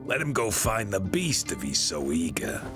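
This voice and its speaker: An actor playing a menacing, gruff exile gang boss with a gravelly voice in a video game. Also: male voice, sits low, wildly swooping pitch, moderate pace, over music, full character voice. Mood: cold, menacing.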